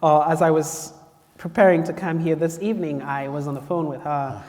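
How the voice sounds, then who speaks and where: A man's voice: fairly high pitch, steady tone, normal speed, a little mellow, big-room sound. A man from Cameroon, a guest speaker telling his story to a campus audience, chatty and playful. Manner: chatty and playful, often laughing. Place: campus lecture hall.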